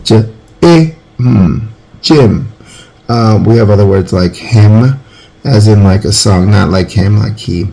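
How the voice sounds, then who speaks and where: A man in his forties talks through a phonics lesson in a loose, rambling way and keeps correcting himself as he goes. His voice sits a little low and is heavily gravelly, with a husky edge. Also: slow pace, mellow, rough recording. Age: 40s. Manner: rambling, self-correcting, informal. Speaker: teacher.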